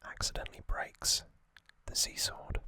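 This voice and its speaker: A man whispering trivia as an ASMR host into a close microphone, softly, with frequent ums and uhs. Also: measured pace, no room sound. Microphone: close sensitive mic.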